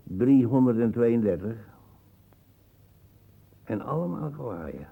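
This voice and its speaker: An actor playing a suspicious, cantankerous old colonial uncle with a gravelly, husky voice in a radio drama. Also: male voice, medium pitch, sing-song, slow, narrow, tinny audio, exaggerated voice. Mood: boastful. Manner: brooding, cantankerous.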